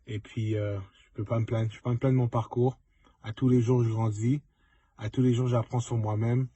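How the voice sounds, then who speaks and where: A man's voice: medium pitch, flat delivery, moderate pace, mellow, breathy edge, dry recording. A young man giving a casual, reflective testimonial to students and mixing in English words. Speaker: former student, pro football player.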